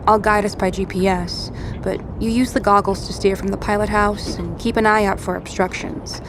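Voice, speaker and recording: An actress playing a practical, self-possessed survivor in an audio drama, matter-of-fact and terse. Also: female voice, medium pitch, level tone, moderate pace, background noise, close to an everyday voice.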